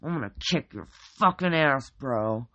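A man's voice: medium pitch, animated, unhurried, bright, forceful tone. A man voicing a dim, blustering tattooed tough guy with a forced tough-guy voice. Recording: dull lo-fi recording.

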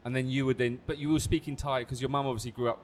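A man's voice: mid-range voice, fairly even intonation, very fast. A man co-hosting a casual interview podcast, teasing and blunt with his questions. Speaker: podcast co-host. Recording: faint background noise.

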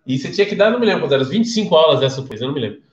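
A man's voice: fairly high pitch, big pitch swings, racing, muffled recording. Chatty Brazilian man teaching in an echoey room. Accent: Brazilian Portuguese. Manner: chatty storyteller, questions the listeners. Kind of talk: casual live-streamed class.

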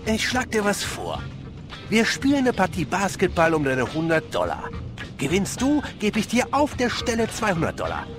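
A man acting wisecracking detective Tyler, lively pitch. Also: normal speed. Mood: cheeky pleading. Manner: joking, casual, slangy. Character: broke, wisecracking, easygoing male police detective Tyler. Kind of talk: audio drama acting of a video game story. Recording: music under the voice.

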